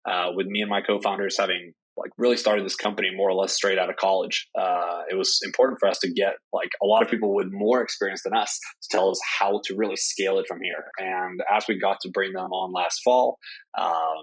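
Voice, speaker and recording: Low husky-voiced man, filler-heavy. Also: fairly even intonation, brisk, poor audio. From Norway. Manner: hesitant, many "uh" fillers. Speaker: startup CEO. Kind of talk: interview answers.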